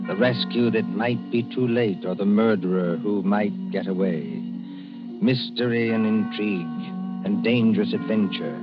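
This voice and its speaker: Ominous low-voiced man narrating over music. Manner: measured, ominous, theatrical. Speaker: announcer and narrator. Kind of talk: dramatic old-time radio narration. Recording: muffled old radio recording with loud music.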